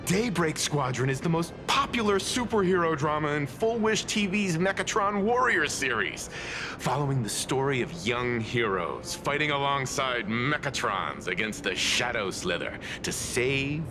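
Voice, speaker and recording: A man voicing a calm, good-humored mature mentor in an anime-style video game, speaking in a measured, reassuring manner. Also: medium pitch, background noise, lightly acted. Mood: informative, amused.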